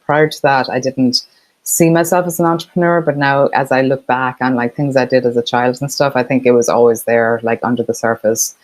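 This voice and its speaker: Woman, Irish accent with a Boston edge, very low voice, chatty guest, laughs easily. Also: steady tone, a little fast, a little mellow, dry recording, rough recording. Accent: Irish with some Boston. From Ireland. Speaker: guest, partnerships expert. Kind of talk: casual interview chat.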